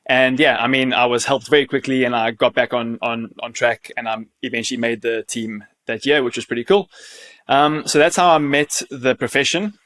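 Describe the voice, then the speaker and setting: South African male, thirties, chiropractor guest, relaxed conversational answers, medium-pitched voice. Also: normal speed. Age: 30s. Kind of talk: casual conversational interview answers.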